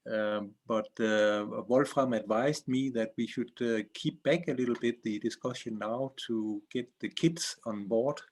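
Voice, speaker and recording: A man moderates an online panel and hands over between speakers, heard over a video call. He is polite and fills his pauses with many uhs and ums. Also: mid-range voice. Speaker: session moderator.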